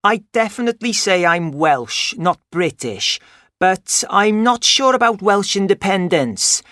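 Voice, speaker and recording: A man playing a young man from North Wales, who speaks in a measured, firm scripted monologue. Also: high-pitched, expressive, sharp tone, dry recording, studio quality, full character voice.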